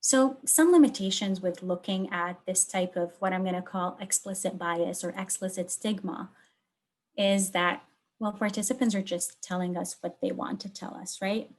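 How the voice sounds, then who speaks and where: A woman from Lebanon presents research as an academic, in a mid-range voice. She explains things in short, measured phrases. Key words get clear emphasis as she walks through her slides. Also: medium pitch.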